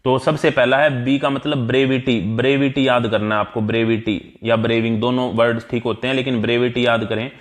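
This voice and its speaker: A man's voice: mid-range voice, level tone, brisk, few pauses. A man with an Indian accent giving an explanatory exam-prep lesson as an instructor, repeating key terms in a didactic way. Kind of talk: explanatory exam-prep tutorial, mixing Hindi and English.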